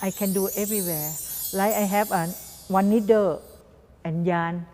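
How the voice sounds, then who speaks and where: Mellow Thai-accented woman, echoing room. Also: medium pitch, steady tone, soft background music. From Thailand. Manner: halting phrases, non-native grammar, repeats words. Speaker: guest artist and doll maker. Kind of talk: interview answers in simple English. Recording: reverberant room.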